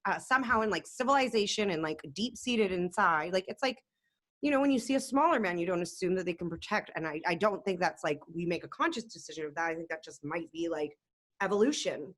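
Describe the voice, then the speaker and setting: A woman's voice: lowish voice, expressive, fast talker. A young woman co-hosting a casual podcast chat, rambling and filling her talk with "like". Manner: chatty, rambling, uses "like" a lot. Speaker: co-host.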